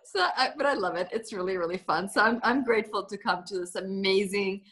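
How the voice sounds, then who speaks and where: A woman in her thirties from Germany chats casually on a video call from her living room, animated and giggly, with thoughts that trail off. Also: mid-range voice, fairly quick. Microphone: video call, computer microphone.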